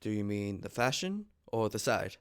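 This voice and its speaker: A man reading the part of a polite, nervous young gentleman suitor in a stage comedy, formal and deferential. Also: lowish voice, sing-song, normal speed, smooth. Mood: earnest, hesitant.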